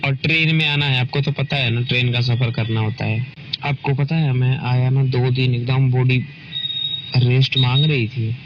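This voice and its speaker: A man's voice: mid-range voice, fairly even intonation, moderate pace. Man chatting on a noisy phone call. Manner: often ends remarks seeking agreement. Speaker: partner in a private couple's phone call. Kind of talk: casual intimate phone chat.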